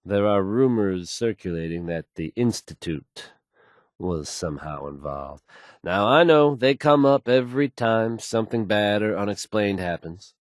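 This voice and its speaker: A man's voice: fairly low pitch, lively intonation, unhurried, no echo, clean studio sound. An actor playing a confident, folksy male radio DJ in a video game, delivering news in suave showman's patter. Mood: confident, reassuring. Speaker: radio DJ character.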